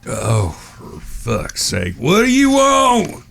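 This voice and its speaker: A man playing a gruff, irritable gunslinger older brother in a Western audio drama. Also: fairly high pitch, huge pitch swings, drawn-out words, a bit bright, faint music behind, full character voice. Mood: groggy irritation. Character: foul-tempered, threatening gunslinger older brother.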